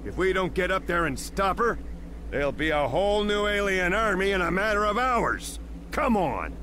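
Game role: urgent, commanding army chief, gruff and emphatic, highish animated voice, slight background noise. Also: male voice, normal speed, exaggerated voice. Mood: urgent, rallying. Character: gruff, urgent male military commander giving orders. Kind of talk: video game cutscene acting.

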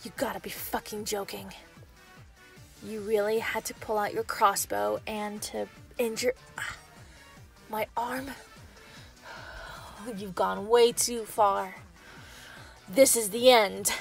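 A woman playing a possessive, mocking yandere werewolf in an ASMR roleplay, taunting and gloating with drawn-out threats. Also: medium pitch, fairly even intonation, creaky, soft background music. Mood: angry. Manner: taunting, drawn-out, laughing delivery with whispered threats. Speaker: roleplay voice actor. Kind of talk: scripted ASMR roleplay acting.

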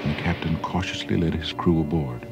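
Very deep-voiced male storybook narrator. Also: a little fast, gravelly. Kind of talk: scripted children's read-along story narration. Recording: band-limited old record, music underneath.

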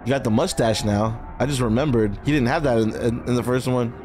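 A man's voice: medium pitch, fairly quick, over music. A young man giving casual movie reaction commentary in short, slangy, sweary interjections, close on a microphone. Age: young adult. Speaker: reaction video co-host. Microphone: close studio microphone on boom arm.

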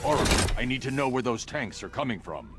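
A man voicing a grim, commanding masked vigilante in a video game, terse and stern with a gravelly voice. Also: mid-range voice, expressive, fast, heavy background noise, natural voice. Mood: grim, focused.

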